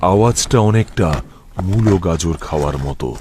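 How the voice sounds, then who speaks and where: A man's voice: low-pitched, expressive, normal speed, a little mellow, a little hiss or hum. A man narrating a scripted first-person horror story for a cartoon. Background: music.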